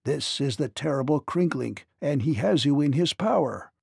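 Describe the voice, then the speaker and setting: A man's voice: fairly high pitch, animated, moderate pace, creaky, a little mellow, slightly rough, dry recording, studio quality, exaggerated voice. A man voicing an ominous talking wolf's head, a captive creature in a storybook.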